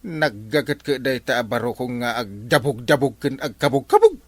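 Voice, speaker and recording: A man with an Ilocano accent playing an excitable village man who nags and advises his friend in a comedy drama. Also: medium pitch, moderate pace, no echo. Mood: teasing.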